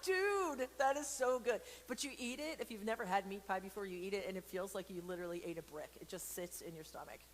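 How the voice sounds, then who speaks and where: A woman's voice: mid-range voice, fast talker, hardly any pauses. A woman hosting a casual interactive read-aloud for children, chatty and playful, wandering into asides and putting questions straight to her listeners. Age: adult. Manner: chatty, playful, rambling asides with comic exaggeration; speaks directly to viewers, asking questions. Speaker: host reading a picture book to children during an online church service. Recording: long reverberant hall echo, background noise.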